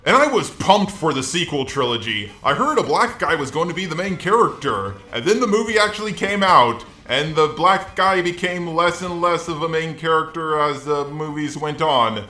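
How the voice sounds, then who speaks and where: A man's voice: fairly high pitch, lively intonation, moderate pace, hardly any pauses, bright, forceful tone, roomy sound, faint music behind. A man's synthetic robot voice delivering scripted, opinionated commentary in a sardonic, sweary manner. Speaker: video essayist.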